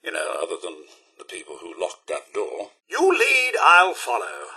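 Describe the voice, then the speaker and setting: An actor playing a fussy, loyal robot butler in a video game, with a British accent, a slightly raspy voice and a prim, polite, theatrical delivery. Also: male voice, high voice, huge pitch swings, measured pace, bright, forceful tone, full character voice. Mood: dryly teasing. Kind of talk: video game companion voice lines.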